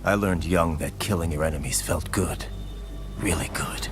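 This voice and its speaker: Game villain-hero, male actor as dark cyborg swordsman, deep and creaky, menacing, over loud music. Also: close to an everyday voice. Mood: dark confession. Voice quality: gravelly, breathy growl. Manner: slow, brooding, menacing delivery. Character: grim cyborg swordsman giving in to his inner killer. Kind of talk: dramatic video game cutscene acting.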